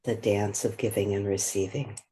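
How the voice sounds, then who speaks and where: A woman leading a guided meditation, speaking gently and without hurry, leaving long pauses between phrases. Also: rumbling low, little pitch movement. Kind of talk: guided meditation, slow and spoken softly.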